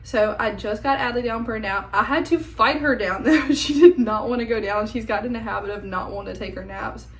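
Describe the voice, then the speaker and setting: Hoarse woman chatting to camera. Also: a little high, a little fast, few pauses, roomy sound. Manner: chatty, rambling, filler-heavy. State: hoarse, voice nearly gone. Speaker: vlogger. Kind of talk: casual chat to camera. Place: at home indoors.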